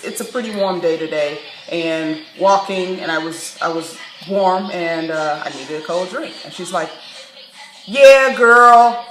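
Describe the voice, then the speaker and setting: A woman from Chicago telling a story to camera in a candid, wry vlog, breaking into laughs and animated asides. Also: mid-range voice, a little hiss or hum. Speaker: vlogger. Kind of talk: casual storytelling monologue. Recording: echoey room, faint background music.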